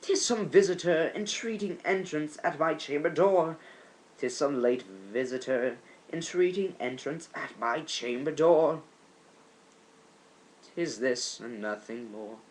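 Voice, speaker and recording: A troubled, grieving scholar alone at midnight, acted out by a man reading a poem. The voice is fairly high and emphatic. The archaic words come out theatrical, fearful and courteous. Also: expressive, takes it slowly, a little hiss or hum, rough recording. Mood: uneasy.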